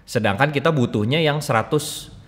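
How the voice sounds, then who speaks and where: Guy, medium pitch, chatty explainer talk, very echoey hall sound. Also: moderate pace. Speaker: sound engineer guest sharing tips.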